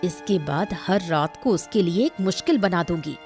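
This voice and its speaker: A woman's voice: on the low side, expressive, moderate pace, a little mellow, faint music behind. A woman playing a scheming, scornful, threatening mother-in-law in a cartoon moral story. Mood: menacing. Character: scheming, spiteful mother-in-law of a rich household.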